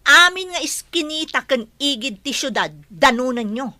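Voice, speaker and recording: Woman playing a bossy schemer, bright, animated voice. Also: sits high, moderate pace, no echo. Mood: angry, commanding. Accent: Filipino, Ilocano. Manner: imperious, impatient, demanding. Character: scheming, bossy woman plotting against a relative. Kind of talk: Ilocano audio drama acting.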